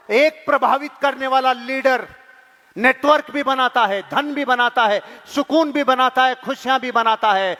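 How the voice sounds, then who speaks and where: High-pitched motivational man in echoing hall. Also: pushed and bright. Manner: emphatic, repeats key phrases, rhetorical questions to the audience; colloquial Hindi mixed with English words. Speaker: motivational speaker and network marketing leadership trainer. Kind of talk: motivational seminar speech. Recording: long hall reverb.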